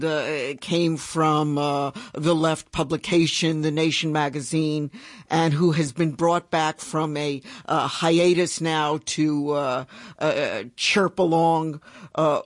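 A man with a somewhat high voice hosts an interview, building long, meandering questions full of fillers. His voice sounds dry and close. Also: no room sound. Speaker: interview host. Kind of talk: political interview.

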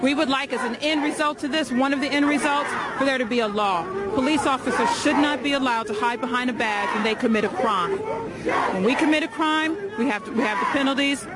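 A woman's voice: sits high, fairly quick. A woman protester speaking impassioned and emphatic at a street protest. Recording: outdoor protest with loud crowd noise.

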